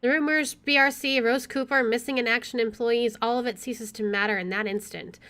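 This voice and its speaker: A woman's voice: on the high side, fairly even intonation, fairly quick, fairly bright tone, clean tone. A woman doing a game playthrough, reading narration aloud expressively and adding giggly asides of her own. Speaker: let's player.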